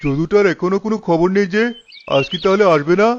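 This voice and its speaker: A chatty cartoon villager played by a man talks in a high voice with a teasing manner. The recording is dull and faintly noisy, with birdsong behind. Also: fairly quick, lightly acted. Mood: weary. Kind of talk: cartoon character voice acting. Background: birds chirping.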